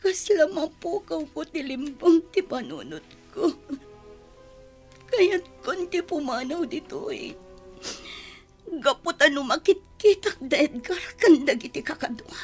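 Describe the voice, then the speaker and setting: An anguished young mother in a Filipino radio drama. Her high voice is tearful and pleading, heavy with fear, in a Filipino accent, over faint music. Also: sing-song, unhurried.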